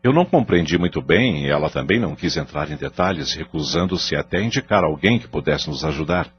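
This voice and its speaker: Male actor, contrite Brazilian family man, low-pitched, animated intonation, pleading, audio drama, muffled lo-fi recording. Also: fairly quick. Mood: earnest, defensive. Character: contrite, earnest husband and father, pleading with his wife.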